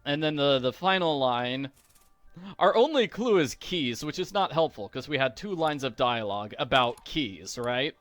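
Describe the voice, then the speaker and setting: A man's voice: mid-range voice, expressive, moderate pace, a bit bright, dry recording, soft background music. A man hosting a video game walkthrough with casual, chatty commentary, recorded close on a mic. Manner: chatty, acts out quoted lines.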